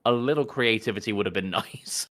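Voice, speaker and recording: A man co-hosting a podcast, chatting casually about games in a halting way, pausing between phrases. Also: fairly low pitch, a bit bright. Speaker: podcast co-host. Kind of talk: casual gaming podcast chat.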